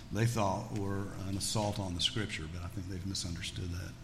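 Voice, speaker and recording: A man's voice: deep voice, fairly even intonation, normal speed. A man teaching scripture to an audience in a folksy, conversational way, with joking asides. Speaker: Bible teacher. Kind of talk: religious teaching with audience questions. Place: large echoey room with an audience. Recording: echoey hall with background noise.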